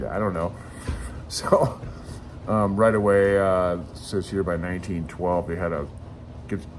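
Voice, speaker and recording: A man's voice: deep voice, slight background noise. A man giving casual, rambling commentary on a book as a hobbyist host, in a chatty, amused way that wanders off on tangents. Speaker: amateur radio hobbyist host.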